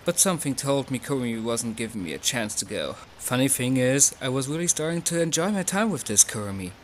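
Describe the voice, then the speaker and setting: A man voicing an easygoing, somewhat reluctant high school boy in an anime fan dub. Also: sing-song, a little fast, a little hiss or hum, lightly acted. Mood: reflective.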